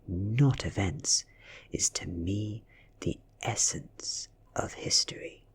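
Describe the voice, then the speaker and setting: Male British ASMR reader: very husky, gravelly, soft-spoken storytelling that drifts into whispers. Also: low voice. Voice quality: breathy, often slipping into a whisper.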